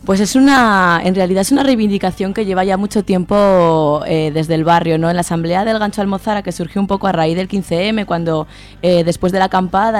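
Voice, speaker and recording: A woman's voice: medium pitch, darkish tone. A woman with an accent from Spain, an activist guest explaining things conversationally on radio, often checking in with tag questions. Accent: Spanish from Spain. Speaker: interview guest, neighbourhood activist.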